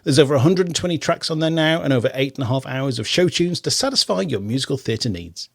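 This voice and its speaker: Middle aged guy hosting a podcast, talks quick, chatty, stumbles and repeats words. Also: on the high side, vocal fry. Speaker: podcast host. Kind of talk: casual radio interview chat.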